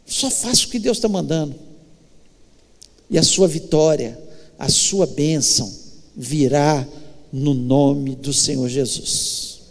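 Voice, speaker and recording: Slow, high-voiced Brazilian man preaching. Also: vocal fry, long reverb tail. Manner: exhorting, emphatic, with deliberate pauses. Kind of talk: church sermon. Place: church, live worship service.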